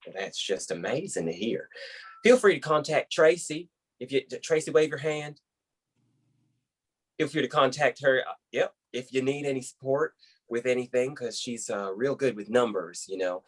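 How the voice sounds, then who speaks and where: A man's voice: medium pitch, normal speed, husky, close to an everyday voice. A man with an American accent playing a folksy, effusive, rambling boss on a conference call in a comedy web series. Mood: upbeat.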